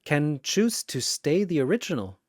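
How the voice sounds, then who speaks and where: A man voicing a calm, motherly female android fighter in a story, speaking in a soft, earnest manner. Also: fairly high pitch, moderate pace, dry recording, studio quality. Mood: earnest reassurance.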